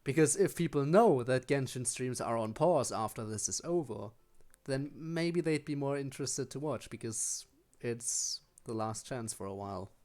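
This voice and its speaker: Casual, rambling game streamer, mid-pitched voice. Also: expressive, normal speed, few pauses, a little mellow. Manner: rambling, chatty asides to viewers. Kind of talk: casual live stream chat while playing a game.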